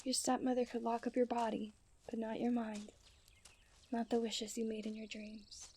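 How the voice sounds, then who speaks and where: Woman acting a mellow, genteel fairytale princess. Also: a little high, flat delivery, normal speed. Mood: tender, soft. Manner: formal, genteel fairytale phrasing with breathy sighs. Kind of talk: scripted romantic ASMR roleplay.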